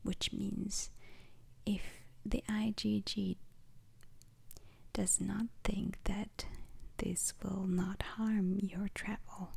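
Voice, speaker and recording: A woman playing a calm, formal space-agency instructor in an ASMR roleplay, lecturing in a breathy whisper with deliberate pauses. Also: medium pitch, heavily acted. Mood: calm, instructive. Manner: slow, deliberate, hesitant pauses, formal wording.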